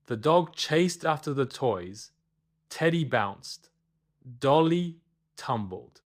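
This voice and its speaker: Casting: man to narrate kids' bedtime stories, unhurried, mid-range voice, expressive with dramatic pauses. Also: medium pitch. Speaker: storyteller, narrator. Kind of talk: scripted children's bedtime story narration.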